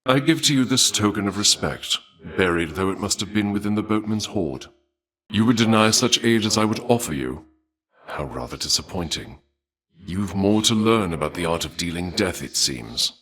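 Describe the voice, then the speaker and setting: An actor playing a courtly god of war in a video game, speaking formally and with measured, suave menace and a taste for bloodshed. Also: male voice, low voice, animated, fairly bright tone. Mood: courteous, pleased, then cold displeasure. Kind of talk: theatrical video game character dialogue.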